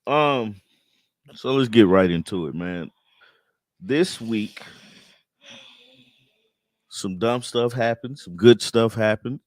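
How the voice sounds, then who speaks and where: Casting: man, relaxed joking co-host, lowish voice, dry close mic. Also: slow pace, long pauses, no echo. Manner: playful, laughs often. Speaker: co-host of a live coffee chat show. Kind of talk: casual live chat.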